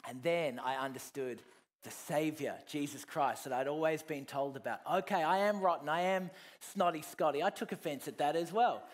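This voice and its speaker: Man, somewhat high voice, earnest testimony sermon, emphatic then hushed. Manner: earnest, swings from loud emphasis to soft, low reflection. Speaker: preacher giving his testimony.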